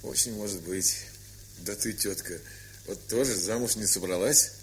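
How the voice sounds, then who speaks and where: A man's voice: moderate pace, natural voice. An actor playing a reserved, slightly awkward nephew in his late forties in a dramatised story, answering tersely and hesitantly. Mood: reserved, fond. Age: 40s. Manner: terse, hesitant, low-key replies. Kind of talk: dramatised short story, audio acting. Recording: hissy, crackly vintage 1970s record.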